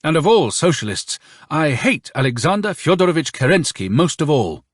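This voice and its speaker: A man's voice: mid-range voice, lively intonation, fairly quick, no room sound, studio quality, voice pushed up, close to an everyday voice. A man voicing a blunt, proud army doctor in an audiobook, speaking earnestly and emphatically. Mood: defiant.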